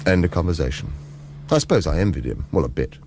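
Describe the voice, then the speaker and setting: An actor playing a bitter, sceptical former agent in a radio thriller, with a British accent and a blunt, sardonic, questioning manner. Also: male voice, very deep voice, big pitch swings, fast talker, creaky, very noisy, full character voice. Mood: reflective, weary.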